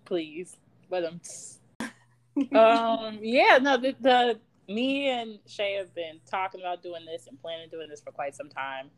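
A young woman from Chicago hosts a casual fan podcast, bubbly and quick to laugh. Her voice jumps all over in pitch, from playful squeals to low asides, and stays mid-pitched. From Chicago, Illinois. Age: 20s. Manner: bubbly, laughs a lot, animated swings in pitch and volume. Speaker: podcast co-host. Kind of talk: casual chat.

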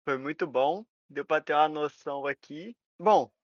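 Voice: medium pitch, moderate pace, dry recording, dull audio. A podcast co-host, making brief, polite remarks. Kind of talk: casual podcast chat.

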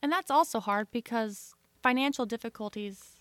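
A woman's voice: fairly high pitch, normal speed, fairly bright tone, no room sound. A woman co-hosting a podcast, chatting casually in an easygoing, agreeable way. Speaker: podcast co-host. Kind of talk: casual chat.

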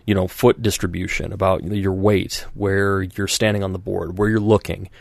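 A man's voice: deep voice, flat delivery, moderate pace, vocal fry, dry recording. A man hosting a podcast in casual chat, rambling with many fillers and restarts and acting out quoted voices. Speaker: podcast host.

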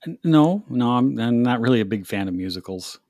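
A man's voice: medium pitch, expressive, fairly quick, darkish tone, no echo, natural voice. A man playing a fed-up male office worker in a comedy sketch, dry and exasperated with his coworkers.

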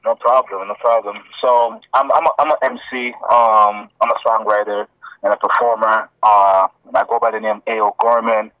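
A man's voice: lowish voice, little pitch movement, normal speed, sharp tone, no echo. A man who grew up in Toronto, a rapper guest co-hosting a podcast over the phone and chatting in an easy, friendly way with plenty of fillers. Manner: laid-back, friendly, lots of fillers. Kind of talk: casual podcast chat. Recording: distant-sounding phone call.

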